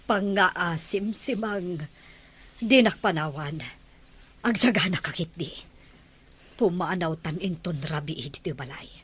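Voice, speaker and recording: A husky, secretive mistress. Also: female voice, on the low side, a little slow, pauses often, a bit bright. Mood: anxious, determined. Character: secretive, weary mistress planning escape from the mansion. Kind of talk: Filipino Ilocano radio drama acting.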